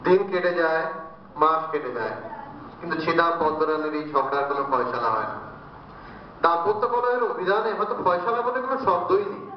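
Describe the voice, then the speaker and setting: A distant, heavily echoing recording of a male narrator. His voice is fairly high as he delivers scripted, dramatic story narration. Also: lively intonation, fairly quick. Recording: distant, very echoey, dull, faintly noisy.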